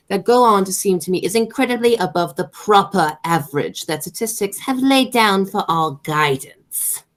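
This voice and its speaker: A woman's voice: mid-range voice, lightly acted. A woman playing a haughty, stern aristocratic mother and aunt in an amateur radio play, declaiming with snobbish authority.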